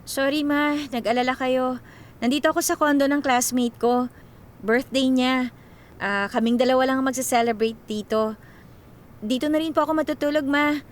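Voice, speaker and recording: High-pitched, playful young Filipino woman acted for radio drama. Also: steady tone, normal speed, long pauses, natural voice. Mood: apologetic, affectionate. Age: young adult. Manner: exclamatory, playful banter.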